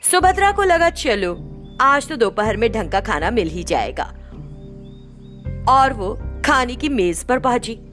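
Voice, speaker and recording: A woman's voice: a little high, very animated, measured pace, a bit bright, soft background music. A woman narrating a scripted moral story as a storyteller.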